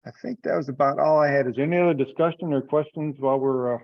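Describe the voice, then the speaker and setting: Guy explaining stuff kinda haltingly on a casual online meeting, dull dry audio. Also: on the high side, creaky, no echo. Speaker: meeting participant leading discussion. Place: online conference call. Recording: muffled, band-limited conference call audio.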